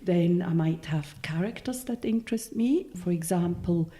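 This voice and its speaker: A Swiss-born woman with a Swiss German accent, a novelist answering interview questions in a thoughtful, halting way that often corrects itself. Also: on the low side, warm tone. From Switzerland. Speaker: novelist guest.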